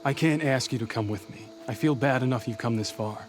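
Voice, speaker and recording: A man's voice: mid-range voice, brisk, soft background music, natural voice. An actor playing an earnest, guilt-worn male fugitive in a naturalistic video game performance, somber in manner.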